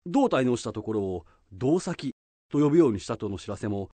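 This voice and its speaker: Deferential, animated samurai retainer. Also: male voice, mid-range voice, fairly quick, breathy edge, no echo. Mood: earnest reporting. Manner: formal, respectful archaic speech. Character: loyal, deferential samurai retainer, humble and earnest. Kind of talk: radio drama acting.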